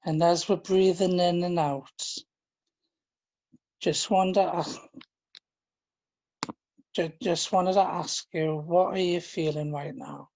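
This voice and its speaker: A man leading a guided relaxation, speaking in a gentle, informal and halting way, often repeating his phrases. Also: sits high, steady tone, dull audio. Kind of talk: guided relaxation, meditation instruction.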